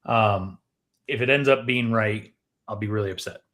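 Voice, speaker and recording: A man talking casually as a podcast co-host, explaining things in a measured way. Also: fairly low pitch, fairly bright tone. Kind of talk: casual movie chat.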